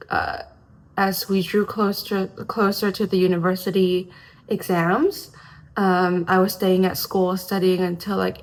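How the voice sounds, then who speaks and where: A young woman from Hong Kong, her voice raspy today, chatting casually and hesitantly to camera in one take, with many ums and restarts. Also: on the low side, rough recording. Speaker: vlogger.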